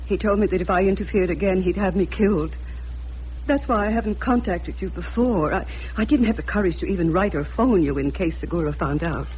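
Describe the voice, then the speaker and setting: An actress playing an anxious middle-aged mother of a missing man in a radio drama, urgent and halting. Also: lowish voice, a little mellow, slightly rough. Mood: fearful, urgent. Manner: urgent, halting, stammering with fear. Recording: noisy radio drama recording with hum.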